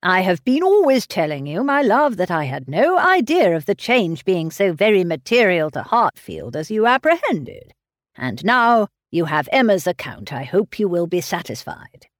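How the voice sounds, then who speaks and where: A woman's voice: fairly low pitch, very animated, normal speed, dry recording, studio quality. A woman voicing a pleasant, sensible grown man in a storybook reading. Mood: measured.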